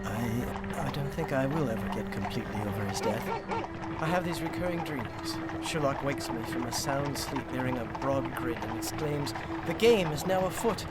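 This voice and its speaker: An actor playing a devoted, gallant Victorian doctor in a radio drama, with a theatrical, commanding manner. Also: male voice, medium pitch, animated, normal speed, natural voice. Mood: tender, wistful. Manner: theatrical, urgent. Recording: loud dramatic music bed. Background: music.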